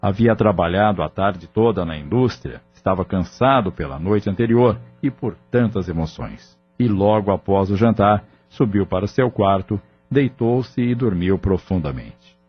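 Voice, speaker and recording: Brief: male narrator, low husky voice, steady measured storytelling, dull lo-fi audio with faint music underneath. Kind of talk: scripted audio novel narration.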